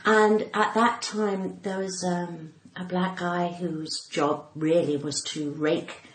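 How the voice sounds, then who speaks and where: A woman's voice: lowish voice, fairly even intonation, slow pace. An older woman with a cut-glass English accent telling candid anecdotes as an interview guest, dramatically acting out what people said. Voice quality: cut-glass, well-spoken. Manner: dramatic, acting out quoted speech. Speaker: podcast guest, comedian and actor. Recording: roomy echo, faint background noise.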